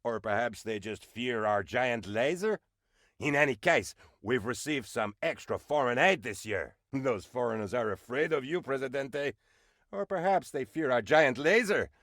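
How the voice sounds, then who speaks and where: A super eager suck-up presidential aide for a funny game, melodramatic, voice bouncing all over, dry studio sound. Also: male voice, mid-range voice, normal speed, no echo. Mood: proud, excited. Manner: obsequious, excitable, melodramatic. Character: eager fawning male presidential aide in a Caribbean republic. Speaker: game advisor character. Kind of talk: theatrical comedic game voice acting.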